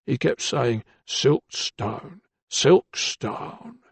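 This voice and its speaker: A man's voice: mid-range voice, drawn-out words, gravelly, breathy edge, no room sound, heavily acted. A man voicing a timid, grasping ironmonger with a thin, bleating voice and a wheedling, deferential manner. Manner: wheedling and deferential, keeps saying sir. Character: timid, grasping male shopkeeper, working class.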